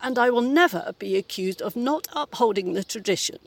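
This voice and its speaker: An actress plays an imperious old British mother's ghost in an audio play. The voice is husky with a creaky edge, and the delivery is haughty, fussy and scolding, with prim, proper phrasing. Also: a little high, lively intonation, fairly quick, dry recording. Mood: indignant, prim.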